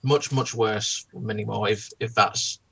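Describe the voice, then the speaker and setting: Mid-register casual commentator, halting and repetitive, with a mocking edge. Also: mid-range voice, fairly even intonation, vocal fry, a bit bright, a little hiss or hum. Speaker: online video commentator. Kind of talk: casual group critique chat.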